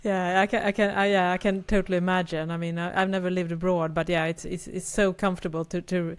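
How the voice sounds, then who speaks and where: A woman's voice: fairly low pitch, flat delivery, quick pace, clean tone. A woman, co-hosting a casual podcast chat, thoughtful and hesitant, often repeating words as she searches for them. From Sweden. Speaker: podcast co-host.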